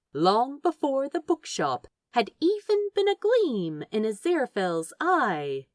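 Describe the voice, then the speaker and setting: A woman's voice: mid-range voice, dry recording, studio quality. A woman reading a story aloud as a scripted narrator. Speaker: audiobook-style narrator of fan fiction.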